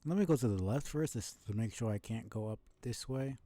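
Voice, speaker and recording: A man live-streaming a video game, giving casual, low-key commentary as if muttering to himself while he plays. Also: brisk, dark tone, no room sound. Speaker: livestreamer playing a video game.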